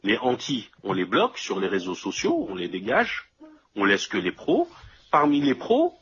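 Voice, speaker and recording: A man's voice: on the low side, wildly swooping pitch, moderate pace, a bit bright, highs cut off, a little hiss or hum. A man in casual conversation, explaining things step by step and often checking that his listener follows.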